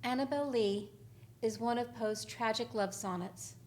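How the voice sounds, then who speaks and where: A young woman reads a scripted introduction as a presenter. Her voice sits a little high. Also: a little slow, a little mellow.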